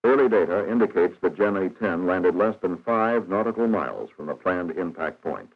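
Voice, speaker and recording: A man reading scripted narration for a 1960s educational film in a formal, measured, matter-of-fact manner. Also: deep voice, expressive. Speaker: documentary narrator. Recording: dull, band-limited 1960s film soundtrack.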